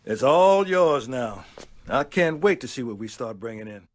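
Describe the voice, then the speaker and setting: A man's voice: medium pitch, animated, moderate pace, natural voice. An actor playing a casual, sarcastic male drive-in theater owner.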